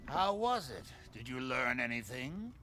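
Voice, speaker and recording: An actor playing a shrewd, theatrical male merchant in a video game cutscene, giving cryptic guidance like an unhurried storyteller. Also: medium pitch, big pitch swings, pushed and bright. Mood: coolly teasing. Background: background music.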